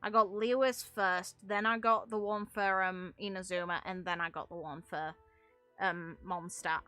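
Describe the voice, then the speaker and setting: A woman's voice: lowish voice, fairly even intonation, unhurried, sharp tone, clear, resonant tone, dry recording, soft background music. A woman streaming a game in casual, rambling commentary, talking in a blunt, exasperated, sweary way. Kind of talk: casual ranting gaming commentary.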